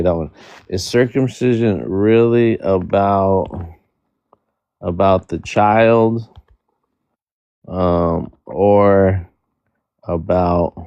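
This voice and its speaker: A man with a very low, gravelly voice talks slowly. He mutters to himself as he sets up and then jokes loosely with his guest. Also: dark tone, no echo, highs cut off. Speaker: host. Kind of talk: casual chat.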